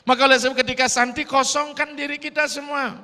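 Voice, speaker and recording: High, bright Sulawesi-accented priest preaching. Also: male voice, quick pace. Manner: lively preaching mixed with English phrases. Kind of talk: dharma talk sermon. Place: large hall at a temple gathering. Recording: long reverb of a hall.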